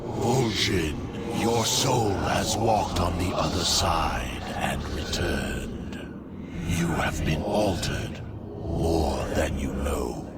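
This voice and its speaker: A man voicing a cold, commanding undead king in a video game, solemn and deliberate. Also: extremely low pitch, expressive, very noisy, heavily acted. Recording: game audio with loud music behind.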